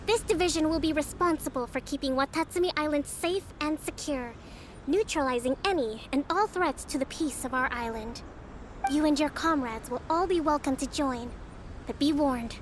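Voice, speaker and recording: A woman voicing a young female divine priestess who leads an army, composed and authoritative in a measured way. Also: sits high, a little hiss or hum, close to an everyday voice. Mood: commanding. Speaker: commander. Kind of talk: video game voice acting. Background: game music.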